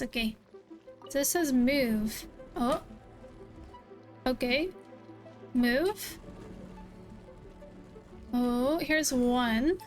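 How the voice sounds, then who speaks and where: A woman streaming a video game, reacting casually and excitedly with frequent exclamations. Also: high-pitched. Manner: excitable, with repeated exclamations and gasps. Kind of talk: casual gameplay reaction commentary. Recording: game music faintly behind voice. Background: video game music.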